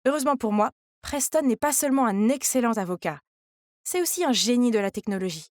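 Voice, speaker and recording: A woman narrates a scripted story in the first person as a young heroine. Her voice is high and confiding, and the recording is very dry. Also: expressive, brisk, darkish tone, no echo.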